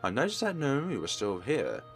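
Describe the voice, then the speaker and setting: Male let's player, mid-pitched, narrating game text over music. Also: huge pitch swings, moderate pace. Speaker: let's play host reading a visual novel aloud. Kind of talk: reading game narration aloud.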